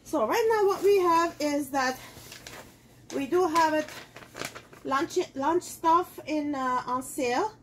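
A woman's voice: high voice, slow pace, pauses often, fairly bright tone, slight background noise. A middle-aged woman, a native Armenian speaker, chatting casually through a cooking demo in her home kitchen, with loose phrasing and many fillers. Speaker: cooking show host selling kitchenware.

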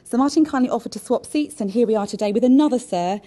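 A woman in her thirties giving a brisk, formal welcome speech at a lectern on a conference stage. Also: a little high, warm tone. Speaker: event chair introducing a session. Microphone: lectern mic.

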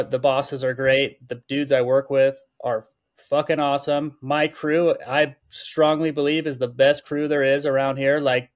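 A man in his thirties speaking as a podcast guest, casual and candid, with a plainspoken, sweary way of talking. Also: a little high. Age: 30s. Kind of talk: casual conversational interview talk.

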